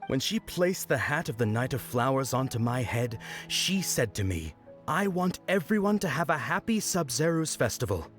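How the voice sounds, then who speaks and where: A man playing an earnest, bashful young festival worker in a video game, with a hesitant, expressive delivery. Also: mid-range voice, moderate pace, close to an everyday voice. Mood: bashful, devoted. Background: music.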